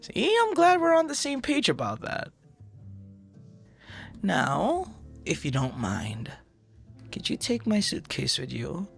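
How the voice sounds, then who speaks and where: A man acts out a charming, easily flustered young guy in an intimate boyfriend-style audio roleplay. His speech is lively and melodic with a gravelly creak at the edges. He chats casually, teasing and tripping over his words, with faint music underneath. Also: high voice, exaggerated voice. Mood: playful, charming. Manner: chatty, teasing, halting with playful stammers. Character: friendly, flustered young male traveler charmed by a stranger.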